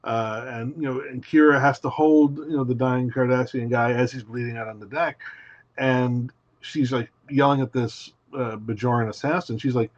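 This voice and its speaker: A man on a remote call, recorded with dull, slightly lo-fi sound. His pitch sits in the usual middle range for a man. He talks casually and at length, walking through a story step by step and filling the gaps with "you know". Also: fairly even intonation, normal speed. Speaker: podcast guest, writer. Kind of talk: casual interview talk. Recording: dull lo-fi audio.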